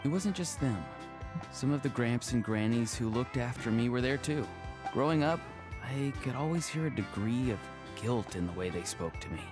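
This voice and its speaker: An actor playing a soft-spoken, thoughtful young male shaman in an anime game. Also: mid-range voice, expressive, moderate pace, music in the background, lightly acted. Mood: wistful.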